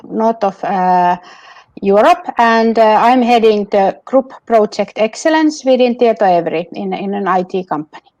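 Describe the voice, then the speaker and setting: A woman's voice: medium pitch, unhurried, a little mellow, lo-fi sound. A woman from Finland with a Finnish accent, briefly introducing herself as a panel guest. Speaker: panel guest, head of project excellence.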